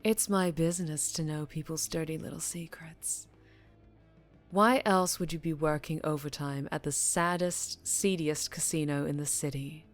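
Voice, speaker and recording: A woman playing a dangerously charming female mafia boss in an ASMR roleplay, teasing and menacing, with deliberate purring delivery that drops toward a whisper. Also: low voice, fairly even intonation, faint music behind, lightly acted. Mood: taunting, teasing. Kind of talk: ASMR roleplay audio drama, addressing the listener.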